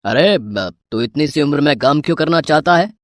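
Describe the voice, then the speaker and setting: A woman's voice: deep voice, lively intonation, normal speed, dry recording, clean studio sound. A woman voicing an old male hotel owner who asks blunt questions. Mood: puzzled.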